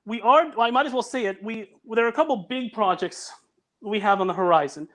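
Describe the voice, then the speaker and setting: A man's voice: sits high, a little fast, a bit bright. A man who makes audio dramas, chatting on a video call in an earnest way and often restarting his sentences. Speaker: audio drama producer and guest. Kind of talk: casual podcast chat.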